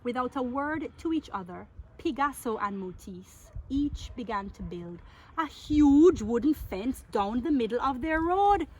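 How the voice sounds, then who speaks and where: A woman reading a picture book aloud to children in an expressive, playful way, drawing out words and asking her listeners questions. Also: high voice, low-quality recording. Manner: theatrical, playful, with drawn-out words and exclamations.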